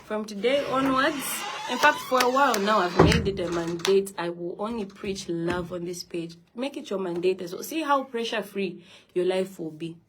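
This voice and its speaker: A woman's voice: mid-range voice, normal speed, few pauses, a little hiss or hum. A young woman hosting a phone live stream, talking earnestly and encouragingly to her viewers about self-love and positivity. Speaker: livestream host.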